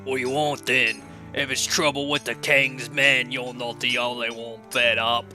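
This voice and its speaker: A man's voice: medium pitch, little pitch movement, a little slow, sharp tone, soft background music. A man acting as a weary, disgruntled blacksmith in an audio drama, with blunt, grumbling working-man talk. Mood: wary, bitter. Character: weary, disgruntled overworked male blacksmith, gruff and plain-spoken.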